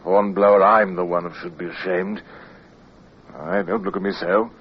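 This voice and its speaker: An actor playing a gruff, honest British naval lieutenant in an old radio drama, hearty in command and halting in reflection. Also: male voice, lowish voice, very animated, exaggerated voice. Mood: humbled, earnest. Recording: noisy, narrow-band old radio recording.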